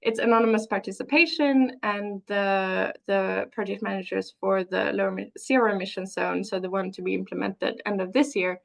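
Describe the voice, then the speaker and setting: A woman researcher presenting slides on a webinar panel over a video call. She speaks haltingly, with frequent restarts. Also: medium pitch, fairly even intonation, creaky.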